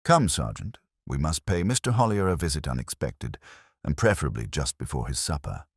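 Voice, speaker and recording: A man voicing a calm, shrewd police inspector who talks quietly and probes lightly. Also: rumbling low, breathy edge, no echo, studio quality, exaggerated voice. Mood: calm probing. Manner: quiet, measured, lightly probing.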